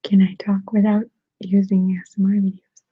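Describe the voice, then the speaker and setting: Breathy whispering woman with a Russian accent. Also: mid-range voice, completely flat delivery, normal speed, no room sound, lo-fi sound. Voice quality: soft, breathy, mostly whispered. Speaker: ASMR creator hosting a live stream. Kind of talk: ASMR, casual chat answering viewers. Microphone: sensitive close microphone.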